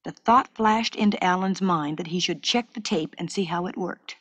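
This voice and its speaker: A woman reads a scripted educational story for children in a clear, measured storytelling manner, as on a 1970s school cassette. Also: fairly low pitch, a bit bright, no echo. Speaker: narrator.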